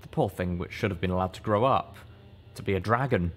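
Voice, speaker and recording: A man reading first-person fiction as an audiobook narrator, with measured, dramatic storytelling. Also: low voice, soft background music.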